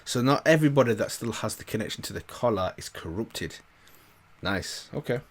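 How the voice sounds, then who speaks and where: A man's voice: mid-range voice, animated, a little fast, husky. A British man giving casual reaction commentary, thinking aloud in a tentative way. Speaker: reaction video host.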